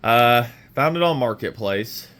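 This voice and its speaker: Man, sore throat, slightly off voice, loose rambling garage-vlog delivery, mid pitch. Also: animated, unhurried, a bit bright. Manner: rambling, with many fillers and restarts. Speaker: motorcycle restoration vlogger. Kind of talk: casual walkaround vlog. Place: home garage.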